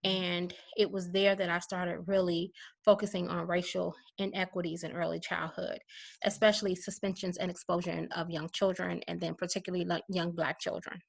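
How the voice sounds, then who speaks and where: Woman in her forties, interview guest on a video call, chatty with lots of fillers, gravelly fry. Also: lowish voice, little pitch movement, moderate pace, low-quality recording. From Chicago, USA. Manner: chatty, many fillers, laughs easily. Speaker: guest, educator.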